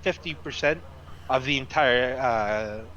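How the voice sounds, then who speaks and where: A man in a casual gaming voice chat, giving short, halting replies. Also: mid-range voice, a bit bright, dull audio, slight background noise.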